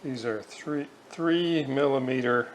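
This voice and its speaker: Older guy explaining a hobby repair, real slow and halting, bit of background noise on it. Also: mid-range voice. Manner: halting, pausing mid-sentence. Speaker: hobbyist restorer demonstrating a repair. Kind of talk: unscripted hands-on workshop demonstration. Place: indoors at a home kitchen counter. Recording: noisy home video, room ambience.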